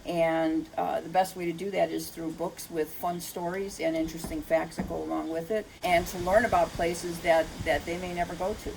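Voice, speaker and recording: A woman's voice: fairly low pitch, fairly even intonation, normal speed, creaky, few pauses, a little mellow, a little hiss or hum, rough recording. An older woman, a children's author, giving earnest, unscripted, explanatory answers in an interview.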